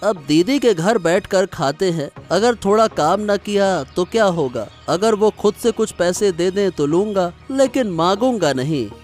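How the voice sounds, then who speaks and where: A man voicing a naive, mild-tempered, slow-witted young brother in a Hindi cartoon moral story, puzzled and protesting in manner. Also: high voice, expressive, darkish tone, soft background music. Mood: modest.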